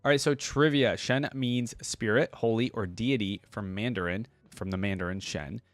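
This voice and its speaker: A man hosting a reaction video, reading text aloud and commenting casually as he thinks out loud to his viewers. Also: fairly low pitch, moderate pace, no room sound.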